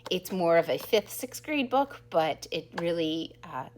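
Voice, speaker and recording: A middle-aged woman with a slightly low voice chats casually and eagerly about books like a schoolteacher. She has an enthusiastic, giggly way of talking. The recording carries a faint humming noise. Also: very animated, a little slow, a little mellow. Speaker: second grade teacher presenting book recommendations. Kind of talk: casual book talk to camera.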